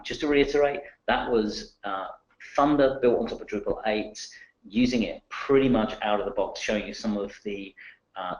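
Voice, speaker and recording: A man's voice: on the low side, echoey room, muffled recording. Hesitant man hosting a webinar. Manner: hesitant, many fillers. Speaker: webinar host. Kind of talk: webinar presentation.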